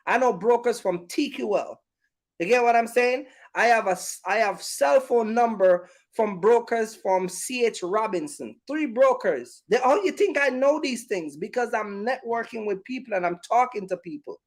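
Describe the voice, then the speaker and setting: A man's voice: very high voice, expressive, moderate pace. A man talking informally to viewers as a business vlogger, giving emphatic, repetitive advice and often checking that they follow.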